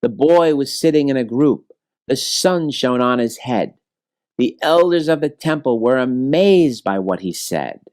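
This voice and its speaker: A man reading a rhyming children's story aloud in an expressive, measured storytelling manner. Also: mid-range voice, no room sound, clean studio sound. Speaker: storybook reader.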